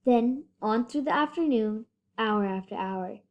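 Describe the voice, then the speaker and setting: A female reader giving an animated children's picture book read-aloud in rhyme. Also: fairly high pitch, unhurried, muffled recording. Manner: animated rhyming delivery with whispered asides. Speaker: story reader.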